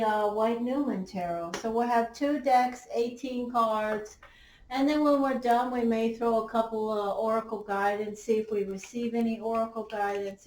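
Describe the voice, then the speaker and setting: A woman doing a tarot reading, losing her voice a little, who talks slowly with many fillers and pauses. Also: a little high, a little mellow, recorded from a distance, slight background noise. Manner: slow, halting, many fillers. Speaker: tarot reader. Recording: roomy, off-mic, faint ambience.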